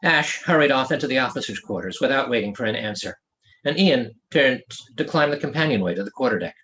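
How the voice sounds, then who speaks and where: A man narrating a story as an author reading his own novel, in an expressive storytelling delivery. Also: mid-range voice, a little fast, slight background noise. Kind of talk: scripted audiobook narration.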